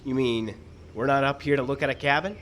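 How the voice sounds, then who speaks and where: A man's voice: mid-range voice, fairly even intonation, a little fast, fairly bright tone, close to an everyday voice. A man playing a fussy, suspicious, aggrieved screenwriter in a live radio-style comedy, plaintive and literal-minded. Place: outdoor park stage. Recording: outdoor live show with background noise. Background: birds and outdoor ambience.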